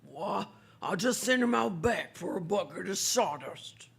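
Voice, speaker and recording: A woman playing an excitable, protective saloon keeper in a radio western, gravelly and husky, with a rural American accent. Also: medium pitch, measured pace, heavily acted. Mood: flustered. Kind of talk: old-time radio western acting.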